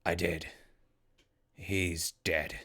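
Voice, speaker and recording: Guy doing an easygoing ghoul radio host, deep raspy voice, chatty wasteland audio drama. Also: little pitch movement, extremely slow, pushed and bright, heavily acted. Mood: subdued, earnest. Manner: casual, conversational. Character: easygoing, friendly male ghoul radio broadcaster. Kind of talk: post-apocalyptic audio drama acting.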